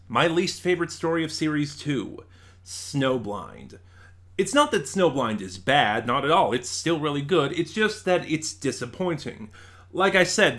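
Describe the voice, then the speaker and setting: A man's voice: fairly high pitch, fairly bright tone. A man from Nevada with an American accent, hosting a review channel with casual, opinionated ranking commentary and an informal, emphatic delivery. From Nevada, United States.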